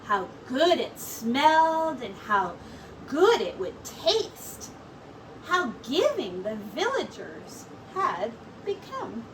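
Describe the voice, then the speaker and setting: A woman teacher reading a storybook aloud to children in an expressive, deliberate way with drawn-out words. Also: high voice. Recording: distant mic with clear background noise.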